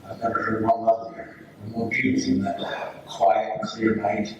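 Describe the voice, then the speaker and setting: A man's voice: lowish voice, monotone, moderate pace, a little mellow, dull audio, lightly acted. A man playing a tender British First World War soldier, reciting a letter to his sweetheart with earnest expression in readers theater style. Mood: tender wonder. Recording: distant, very echoey room, faint noise.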